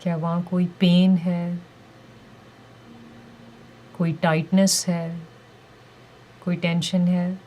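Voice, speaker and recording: A woman leading a guided meditation with calm, gentle, deliberate instructions and pauses between phrases. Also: lowish voice, a little hiss or hum.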